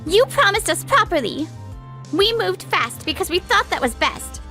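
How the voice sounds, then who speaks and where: A woman's voice: extremely high pitch, very animated, moderate pace, sharp tone, faint music behind, full character voice. A woman voicing an earnest, easily flustered young businesswoman in a romantic comedy manga dub. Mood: indignant. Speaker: voice actor.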